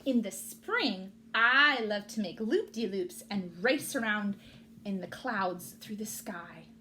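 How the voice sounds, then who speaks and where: A woman's voice: mid-range voice, lively intonation, slow pace, sharp tone, slight background noise. A woman voicing a cheerful, encouraging little bird in a children's storybook, in a chirpy manner. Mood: reassuring. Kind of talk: children's storybook read-aloud.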